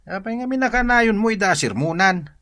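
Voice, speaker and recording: An actor playing a gruff, scolding rural family man in a radio drama, with an Ilocano accent. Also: high-pitched, expressive, brisk, sharp tone. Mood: reproachful.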